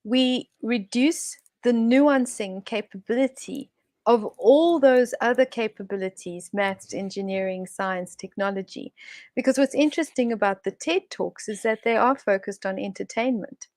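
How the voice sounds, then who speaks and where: A woman's voice: mid-range voice, normal speed, a little mellow, no room sound. A woman guest on an online talk show, speaking in a thoughtful, expansive way with frequent restarts. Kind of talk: reflective online conversation. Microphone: video call.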